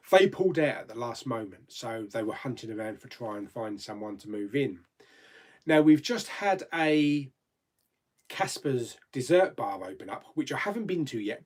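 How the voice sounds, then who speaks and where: A man's voice: mid-range voice, a little mellow, breathy edge. A man hosting a casual live chat, rambling and reading out viewer comments, laughing easily and swearing mildly.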